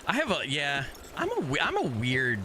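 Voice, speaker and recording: A man's voice: on the high side, huge pitch swings, normal speed. A man hosting a game stream, chatting casually and rambling with many restarts and fillers. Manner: rambling, hesitant, lots of restarts and "like". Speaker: streamer host. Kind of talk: casual chat while gaming. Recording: noticeable background noise.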